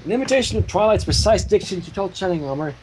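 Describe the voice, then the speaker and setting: A man's voice: sits high, lively intonation, quick pace, darkish tone, slight background noise. A man reading fan fiction as narrator, with casual asides. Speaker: story reader.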